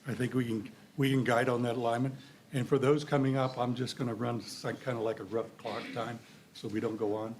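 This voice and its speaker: A man's voice: mid-range voice, steady tone, fairly quick, darkish tone, slight background noise. Man chairing a public meeting. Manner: informal, runs the clock, stumbles over words. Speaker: commission chair.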